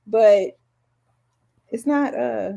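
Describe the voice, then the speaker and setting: High-voiced woman chatting about music. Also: very slow, creaky, mellow. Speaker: podcast co-host. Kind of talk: casual music discussion.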